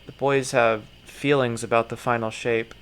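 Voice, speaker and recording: A man giving casual, profane running commentary, muttering and then breaking into sudden loud outbursts, his throat strained. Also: mid-range voice, flat delivery, moderate pace, clear, resonant tone. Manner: swears a lot, mutters, jumps to loud outbursts. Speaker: streamer reacting to videos.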